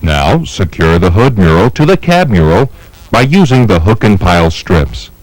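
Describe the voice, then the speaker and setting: A man with a fairly low voice narrates a training film. He gives scripted instructions methodically, one step at a time, with very varied intonation. The sound is dry, with little room around the voice. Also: big pitch swings, dry recording, poor audio. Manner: measured, methodical, step-by-step delivery.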